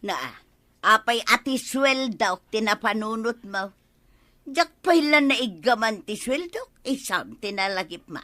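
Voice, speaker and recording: A woman playing a sharp-tongued working wife in a comic radio drama, nagging her husband with quick retorts. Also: fairly high pitch, expressive. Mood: scolding.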